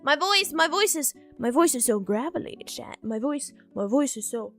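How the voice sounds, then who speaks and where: Woman streamer, bright high voice bouncing all over, giggly groggy chat, soft music under it. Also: big pitch swings, moderate pace. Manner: playful, giggly, repeats herself a lot. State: just woken up, groggy. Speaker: VTuber streamer. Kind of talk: casual chat stream talking to viewers. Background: faint background music.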